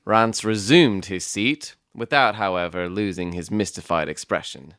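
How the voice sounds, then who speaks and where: A man playing a doctor who narrates his detective companion's exploits in a measured, literary storybook style. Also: low-pitched, a bit bright, clear, resonant tone, no echo, clean studio sound. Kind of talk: scripted first-person storybook narration.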